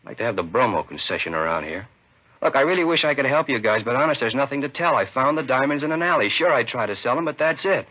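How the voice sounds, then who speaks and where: An actor playing a weary, evasive petty crook under questioning in a 1950s radio crime drama, slangy and grumbling. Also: male voice, mid-range voice, lively intonation, fast, close to an everyday voice. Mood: complaining. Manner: slangy, grumbling, feigning helpfulness. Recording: dull 1950s radio recording.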